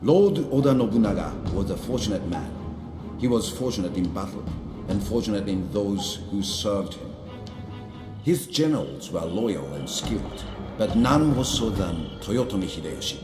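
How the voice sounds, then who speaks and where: An actor playing a grave, wise Japanese elder of the samurai age, narrating a game cutscene in a solemn, measured, sententious way. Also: male voice, on the low side, lightly acted. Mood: reverent reminiscence. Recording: roomy echo over music.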